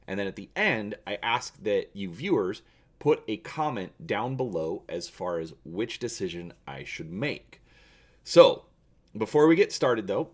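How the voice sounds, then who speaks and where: Low-voiced man reading an adventure story. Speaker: channel host reading a gamebook aloud. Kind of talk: dramatic reading and casual video narration.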